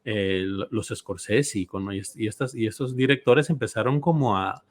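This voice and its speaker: A man with a fairly low voice talks as the host of a casual interview. His delivery is halting, with phrases begun and begun again.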